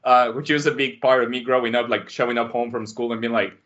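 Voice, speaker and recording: A man from Mexico, a podcast guest, telling his life story in a casual, animated way. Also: mid-range voice, quick pace. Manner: animated, acts out quotes with a raised voice. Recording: dull lo-fi sound.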